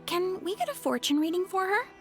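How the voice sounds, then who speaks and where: A polite, tactful young receptionist from a video game. Her high voice is extremely animated in pitch. Faint music sits behind it. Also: fairly quick, heavily acted.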